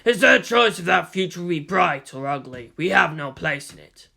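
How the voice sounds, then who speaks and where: A man voicing a weary, blunt old gunsmith in his seventies with a gravelly voice and a matter-of-fact manner, in a storybook reading. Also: a little high, animated, moderate pace, pushed and bright, full character voice. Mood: weary, solemn.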